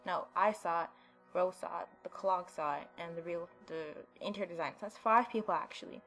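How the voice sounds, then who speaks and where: A woman's voice: fairly low pitch, brisk, a bit bright, soft background music. A woman hosting a gaming video, reading visual novel narration aloud in a dramatic storytelling way with playful asides.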